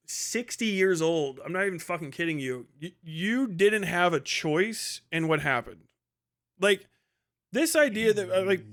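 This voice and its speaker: High-pitched, blunt American man ranting. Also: fairly bright tone. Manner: blunt, profane, exasperated; argumentative, emphatic repetition. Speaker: livestream host. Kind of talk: casual unscripted livestream rant, answering chat.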